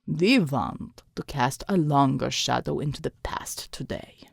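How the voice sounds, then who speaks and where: A man's voice: fairly high pitch, expressive, creaky, darkish tone, no echo, studio quality, voice pushed down, full character voice. A woman growling as a snarling male thug. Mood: menacing sneer. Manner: snarling, slow and menacing. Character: cruel sneering male thug leader.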